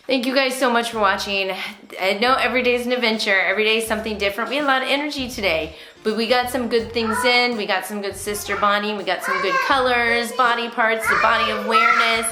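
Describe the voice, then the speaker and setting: A woman's voice: fairly high pitch, brisk, heavy background noise. A woman hosting a homeschooling vlog, explaining things to other parents in an encouraging way. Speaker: homeschooling mother and vlog host.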